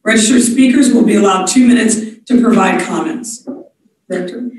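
A woman's voice: mid-range voice, moderate pace, a little mellow. A woman chairing a formal board meeting in a procedural manner, with frequent fillers, in a large wood-panelled office. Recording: echoey room from far off.

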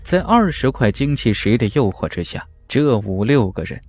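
A man's voice: mid-range voice, very animated, moderate pace, darkish tone, faint music behind. A man narrating a fantasy novel in a dramatic, scripted audiobook style.

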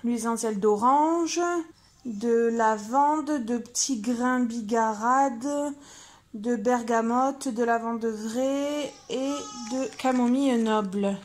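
A woman's voice: on the high side, takes it slowly, darkish tone. A woman, chatting informally and thinking aloud as she shows her shopping in a casual vlog. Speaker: vlogger. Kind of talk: casual vlog chat, showing purchases. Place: car interior, later a room at home.

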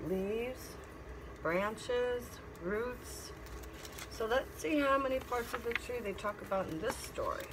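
A middle-aged woman reads a picture book aloud as a teacher, pausing to explain and ask simple questions. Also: a little high. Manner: instructive, asking simple questions. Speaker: teacher reading to children. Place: classroom. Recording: clear background noise.

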